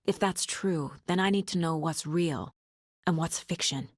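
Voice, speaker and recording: A driven, analytical female investigator in a dramatic video game. Her voice is low and husky, with terse, measured phrasing, as if reasoning through a case. The recording is very dry, with no room around the voice. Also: no room sound. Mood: focused, pensive. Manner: measured, terse, pensive deduction. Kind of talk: dramatic game dialogue, introspective investigation lines.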